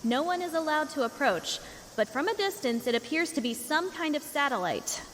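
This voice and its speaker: A woman's voice: high-pitched, very animated, moderate pace. A woman playing a composed field news reporter in an anime fan dub, giving a scripted on-scene report with faint noise behind her. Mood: formal.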